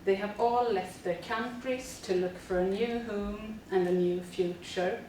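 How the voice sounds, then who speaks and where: A woman's voice: medium pitch, fairly even intonation, measured pace, warm tone, very echoey, far from the mic, a little hiss or hum, low-quality recording. A woman, a teacher reading a prepared presentation steadily with frequent fillers. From Sweden. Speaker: teacher presenting a school project.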